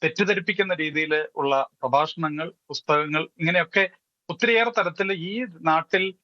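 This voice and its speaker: A man with a Malayali accent speaking as a panelist in a television debate, explaining haltingly with some English phrases mixed in. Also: fairly high pitch, pushed and bright, no echo. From Kerala, India. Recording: dull, lo-fi audio.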